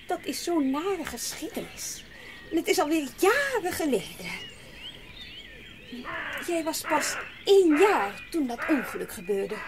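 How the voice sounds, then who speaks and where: A brusque, impatient aunt in a children's audio drama. Her voice is high and swings a lot, curt and sighing, with birdsong and outdoor noise clearly behind her. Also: very animated, a little slow, long pauses, full character voice. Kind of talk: children's radio play acting.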